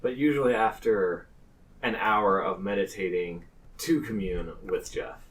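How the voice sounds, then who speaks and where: A man improvising narration as game master of a tabletop game, pausing hesitantly mid-phrase. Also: on the low side, recorded from a distance, low-quality recording.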